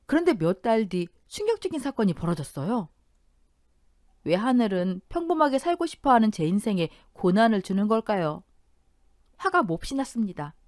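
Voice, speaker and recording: A woman narrating a family story in radio-drama style, calm and heartfelt. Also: mid-range voice, no room sound, studio quality.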